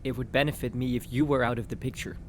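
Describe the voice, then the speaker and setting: A man's voice: medium pitch, steady tone, fast talker, slight background noise, natural voice. A man voicing a blunt, cynical rebel who needles a scheming aide in an audio drama. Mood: sardonic. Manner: blunt, sardonic.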